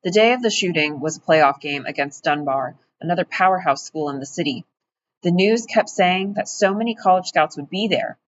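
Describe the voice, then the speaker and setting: A woman's voice: on the low side, animated, moderate pace, close to an everyday voice. A woman voicing an easygoing teenage boy who plays basketball, talking casually and earnestly in an audiobook reading. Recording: dull, muffled audio with faint hiss.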